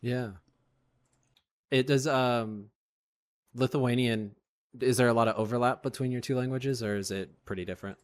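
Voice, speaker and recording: A male host with a voice of middling pitch asks relaxed, curious questions. He drops in short acknowledgements as the guest talks. The tone stays casual and easygoing. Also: moderate pace, pauses often. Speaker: podcast host.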